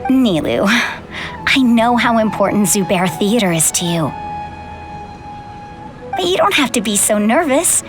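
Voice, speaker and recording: A woman voicing a middle-aged widowed mother in a video game, gentle, measured and reassuring. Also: on the high side, lively intonation. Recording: game audio with music.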